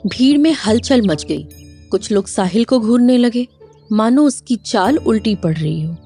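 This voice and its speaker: A woman's voice: on the high side, expressive, unhurried, dark tone, soft background music. A woman narrating a cartoon moral story in a scripted storybook style.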